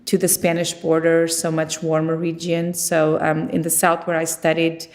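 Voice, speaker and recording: A woman's voice: sits low, monotone, normal speed, a little mellow. A middle-aged woman from Portugal, talking conversationally about her work in an interview. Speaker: winemaker being interviewed.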